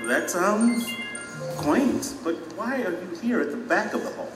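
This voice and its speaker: An actor playing a self-important, naive and eager former mayor in an audio drama, pompous and full of wonder, in an echoey hall. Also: male voice, high voice, animated, a little slow, recorded from a distance. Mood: puzzled. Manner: pompous, wondering, hesitant when unsettled. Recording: echoey hall, music behind.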